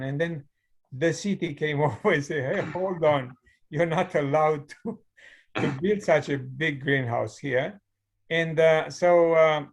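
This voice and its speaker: A middle-aged man from Romania with a Romanian accent, telling his story as an interview guest over a video call in a thoughtful way. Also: on the high side. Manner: thoughtful, storytelling with light laughs. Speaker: guest, mechanical engineer. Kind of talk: conversational interview.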